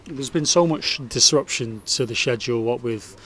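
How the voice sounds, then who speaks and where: A man's voice: mid-range voice, moderate pace, fairly bright tone. A man working as a sports reporter, asking questions in a post-match interview.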